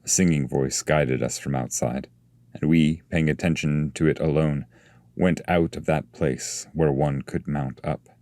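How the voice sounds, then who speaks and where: A man reading epic poetry as a scripted narration, in a measured, solemn way. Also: a bass voice, fairly even intonation, dark tone, no room sound.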